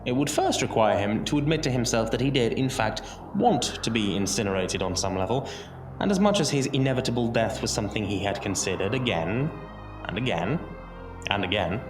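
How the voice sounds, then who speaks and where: Narrator for audio drama: male, lowish pitch, theatrical measured delivery, roomy sound over a music bed. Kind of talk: dramatic scripted storytelling narration. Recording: roomy echo under music.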